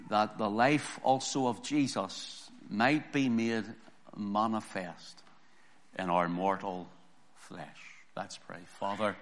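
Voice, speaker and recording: Man, pastor, hoarse, sermon, deliberate scripture reading, emphatic preaching. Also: on the low side.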